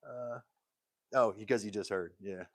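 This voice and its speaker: A man's voice: on the low side, unhurried, dry recording, studio quality. A man hosting a casual woodworking livestream from his workshop, talking in an easygoing, rambling way and answering viewer comments. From United States.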